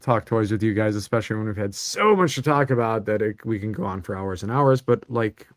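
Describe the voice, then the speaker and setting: Relaxed rambling podcast co-host. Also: male voice, on the low side, a little fast, dry recording, clean studio sound. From Chicago suburbs. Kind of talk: casual chat about toy news.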